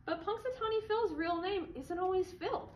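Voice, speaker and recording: A woman's voice: extremely high pitch, normal speed, distant mic, a little hiss or hum. A woman voicing a spirited young female groundhog from a storybook, eager and insistent.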